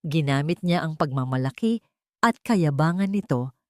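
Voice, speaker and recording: Low-voiced woman narrating a dramatic story. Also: expressive, normal speed, darkish tone, dry recording, clean studio sound.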